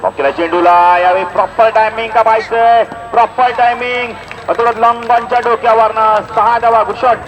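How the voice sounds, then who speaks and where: A man giving live play-by-play cricket commentary in an animated announcer's delivery, with punchy stock phrases. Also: high-pitched, fairly quick, low-quality recording. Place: outdoor cricket ground. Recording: outdoor event PA with crowd noise. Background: crowd and outdoor event noise.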